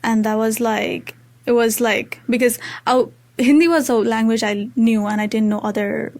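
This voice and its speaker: A young woman with a high voice and a Nepali accent, a native Maithili speaker. She talks in a casual, reflective way, feeling her way through her answers as a student guest in an interview. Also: fairly even intonation, normal speed, a little mellow. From Tarai region of Nepal. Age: young adult.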